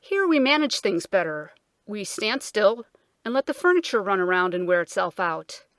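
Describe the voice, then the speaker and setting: A woman's voice: mid-range voice, big pitch swings, normal speed, no echo, studio quality, lightly acted. A woman voicing a drowsy, cross old king who speaks solemnly and irritably, in a storybook reading. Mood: grumpy.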